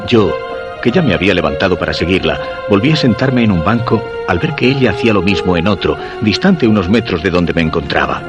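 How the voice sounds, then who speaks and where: A man's voice: deep voice, lively intonation, a little fast, lo-fi sound. An actor playing a lovesick, brooding man narrating his diary in a radio drama, with an accent from Spain. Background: music.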